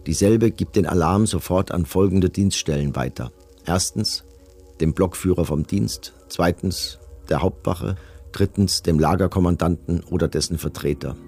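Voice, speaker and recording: An actor playing an impersonal camp official, reading orders and reports in a formal, bureaucratic way for a documentary radio drama. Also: male voice, sits low, moderate pace, a little mellow, slightly rough, soft background music. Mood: detached, formal.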